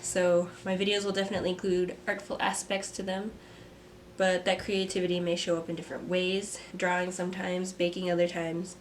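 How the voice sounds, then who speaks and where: A young woman, a mother and artist, talking to camera from a script in a soft, reflective vlog; she sounds stuffy and congested. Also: on the low side, monotone, a little fast, slight background noise. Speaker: vlogger, artist. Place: home. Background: kids playing.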